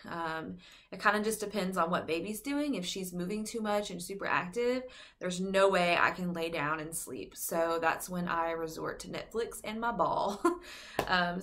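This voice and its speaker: A woman's voice: fairly low pitch, fairly bright tone. A young adult woman, heavily pregnant and a little short of breath, giving a casual, rambling vlog update full of fillers. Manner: chatty, rambling, many fillers and "like". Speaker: vlogger.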